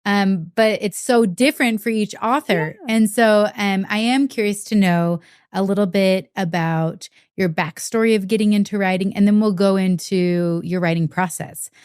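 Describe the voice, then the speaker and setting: A woman's voice: mid-range voice, moderate pace, darkish tone, clear, resonant tone, dry recording, studio quality. A woman co-hosting a podcast, asking an author questions in an enthusiastic, casual way. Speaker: podcast co-host. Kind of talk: casual interview chat.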